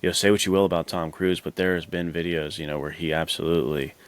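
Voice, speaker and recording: Male podcast co-host, extremely deep voice, casual film chat, discursive and halting. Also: fairly even intonation. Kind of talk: casual chat about films.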